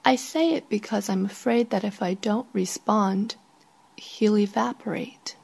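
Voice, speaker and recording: Breathy woman slowly reading a short story. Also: mid-range voice, darkish tone. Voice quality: breathy, often falling to near whisper. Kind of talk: literary first-person story narration.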